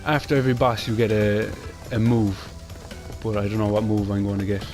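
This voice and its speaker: Young irish man, lowish voice, quick rambling chat, game music behind. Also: level tone. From Ireland. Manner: fast, rambling, chatty with Irish phrasing like "class". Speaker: gaming channel host. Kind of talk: casual gameplay commentary.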